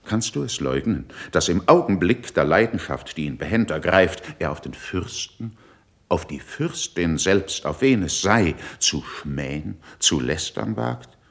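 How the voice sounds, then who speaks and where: A worldly older statesman of the court, very deep and creaky in voice. He speaks classical verse with measured rhetoric and a wide, lively melody, critical yet in control of himself. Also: full character voice. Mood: reproachful, indignant. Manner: measured, rhetorical, emphatic declamation. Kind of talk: classical German verse radio drama acting.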